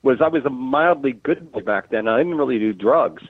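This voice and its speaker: A man in his late forties, a guest caller in a casual chat, talkative and reflective with halting restarts. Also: medium pitch, animated, slightly rough, no room sound, phone-quality sound. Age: 40s.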